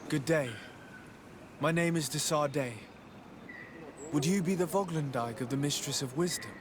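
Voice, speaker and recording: A man acts out a courteous noble envoy in a video game, confident and ready to help. His fairly high voice is formal and polite. Clear ambient noise sits behind it. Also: normal speed, natural voice. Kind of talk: video game cutscene acting.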